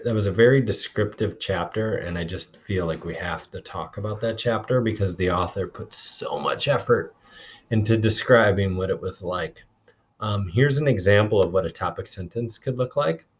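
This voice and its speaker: A man with a fairly low voice reads aloud in the role of a teacher. He narrates expressively and slips into informal, instructive asides to explain things. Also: moderate pace. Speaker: teacher reading aloud to a class.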